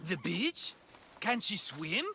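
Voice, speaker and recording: A man's voice: high voice, very animated, slow, gravelly, bright, forceful tone, slight background noise, full character voice. An actor playing a chatty, scheming middle-aged man who talks in a fussy, coaxing way. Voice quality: fussy, wheedling, hesitant.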